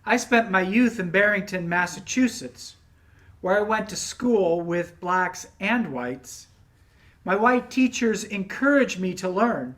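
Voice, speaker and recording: A man playing a dignified elderly male scholar in a dramatic reading, with formal, deliberate delivery. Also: high voice, fairly bright tone. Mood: warm, recollecting. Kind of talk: dramatic reading of historical monologue.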